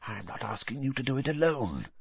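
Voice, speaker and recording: Man voicing a cold dark lord, hissing whisper, husky, muffled dry sound. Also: mid-range voice, big pitch swings, fast talker, no room sound, pitched above the performer's own voice, full character voice. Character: sickly, cold, menacing male dark lord.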